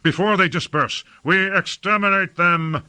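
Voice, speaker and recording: Man voicing an imperious, hot-tempered warlord, pitched high. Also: lively intonation, moderate pace. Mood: excited, commanding. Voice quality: harsh. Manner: urgent, peremptory, shouting commands. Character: imperious, hot-tempered male lord commanding an army.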